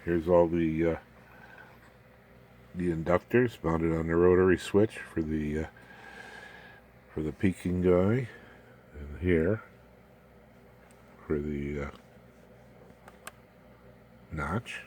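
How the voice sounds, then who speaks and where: A man's voice: low-pitched, slow, long pauses, darkish tone. A man giving an informal, unscripted technical walkthrough of a home-built electronics project in a folksy, jokey, rambling way. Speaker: amateur radio hobbyist presenting his home-built project.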